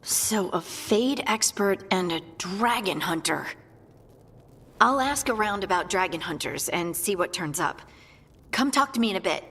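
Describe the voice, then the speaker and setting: A woman's voice: medium pitch, animated, measured pace, fairly bright tone, slightly rough, long reverb tail, full character voice. A woman playing a practical, level-headed companion in a fantasy video game. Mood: matter-of-fact.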